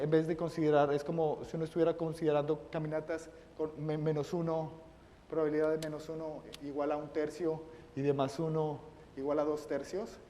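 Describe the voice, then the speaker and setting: A man giving a math lecture, halting and often repeating his words. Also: a little high, a little mellow. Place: lecture room. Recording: reverberant lecture hall.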